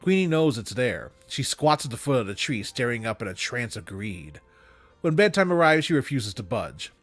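A young man reading a literary story aloud in an expressive storytelling style, close to a desk microphone. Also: medium pitch, moderate pace, no room sound, soft background music. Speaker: reader. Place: small room at home, indoors.

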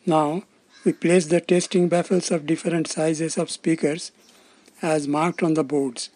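A man's voice: a little high, flat delivery, unhurried, darkish tone. A man giving scripted, step-by-step narration as the presenter of a technical demonstration. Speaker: presenter of a loudspeaker testing demonstration.

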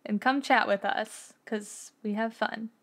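A woman's voice: fairly high pitch, steady tone, a little slow, a bit bright. A woman on a podcast, speaking in a casual, chatty style. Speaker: podcast cast member. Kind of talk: casual chat.

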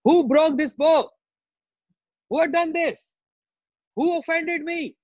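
A man's voice: extremely high pitch, slow pace, voice pushed up. A man with an Indian accent voicing a ferocious, boastful warrior sage in an oral storytelling, threatening and demanding. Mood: furious. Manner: threatening, shouting demands, repetitive. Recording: roomy, dull lo-fi sound.

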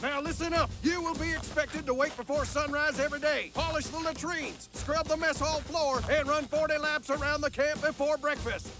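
A man voicing a big, gruff, bullying cockroach drill sergeant in a cartoon, barking military orders and insults. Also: extremely high pitch, steady tone, normal speed, few pauses, music in the background. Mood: bullying, scornful. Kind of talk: cartoon voice acting.

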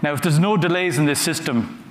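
A man giving a conference talk from a stage, conversational and halting, often repeating words as he goes. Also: fairly high pitch. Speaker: conference speaker. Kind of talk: conference talk with audience questions. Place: large conference hall on a stage. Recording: roomy hall sound with faint noise.

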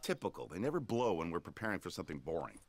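Cocky young pilot, teasing, fast talker, very bouncy pitch, game audio. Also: male voice, big pitch swings, slight background noise. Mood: wry. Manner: quick, teasing. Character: cocky young male starfighter pilot, joking.

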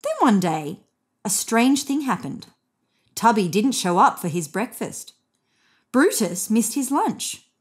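A woman's voice: fairly high pitch, big pitch swings, slow, slightly rough. A woman in her thirties reading a children's story aloud expressively as a narrator. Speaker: storybook reader. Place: indoors on a bed.

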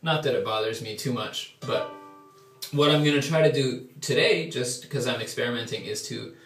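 A man's voice: medium pitch, moderate pace, a bit bright, faint music behind. A young Canadian man talking casually and in a rambling way, then singing a ballad to his ukulele, with a few self-deprecating asides, recorded in a small tiled bathroom by a distant camera. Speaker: folk singer. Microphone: far camera. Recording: echoey tiled room, distant mic. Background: ukulele playing.

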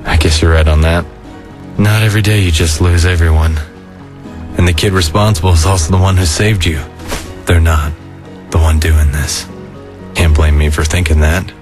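A man in an animated comic dub playing a weary, laid-back adult skeleton, brooding yet kind, with a low-key, reflective drawl. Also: moderate pace, long pauses, over music, lightly acted. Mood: weary, guarded.

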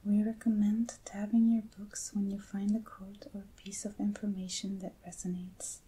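A young woman speaks in a soft, whispery ASMR style, extremely breathy and close to the mic. Her voice is mellow and gentle, and she takes her time with slow, hushed phrasing. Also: mid-range voice, poor audio. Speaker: ASMR creator unboxing items. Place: indoors at a table with a backdrop. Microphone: close ASMR microphone.

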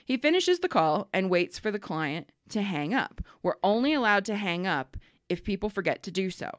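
A woman co-hosting a casual podcast, telling work stories with lively acted-out quotes and frank swearing. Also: medium pitch, moderate pace, no room sound, clean studio sound. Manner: animated storyteller, acts out callers and swears freely. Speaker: podcast co-host. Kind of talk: casual storytelling chat.

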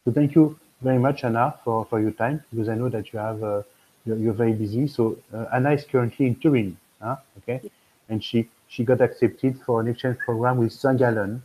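A man's voice: mid-range voice, fairly even intonation, a little fast, warm tone, poor audio. A man in his fifties with a French accent, talking on a video call as an admissions consultant, rambling with many fillers and restarts. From France. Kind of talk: video call webinar talk. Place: room at home.

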